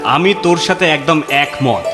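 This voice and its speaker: A man's voice: fairly high pitch, expressive, moderate pace, lightly acted. A man voicing Jadu, a playful young man who jokes with his friends and dreams of finding treasure. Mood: teasing. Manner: playful, teasing. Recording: music underneath.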